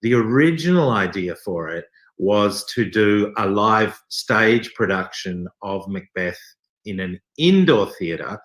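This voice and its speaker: A man's voice: lowish voice, expressive, takes it slowly, fairly bright tone. An Australian man speaking as an interview guest from a theatre company, explaining things conversationally with hesitant asides. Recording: echoey room sound.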